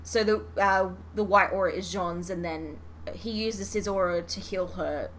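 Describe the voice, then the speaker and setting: A woman with a bright, forward voice chats casually as a podcast host. She is expressive and swears freely. The recording has faint noise behind her. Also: medium pitch, a little slow. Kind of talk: casual fan chat reviewing a show.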